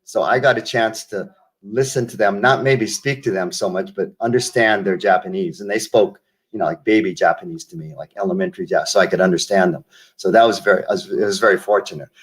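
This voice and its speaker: An older man from the United States speaks in a lowish voice as an interview guest. He tells his stories slowly and reflectively, doubling back and restarting his sentences. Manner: reflective storyteller, many restarts and asides. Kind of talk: casual interview conversation.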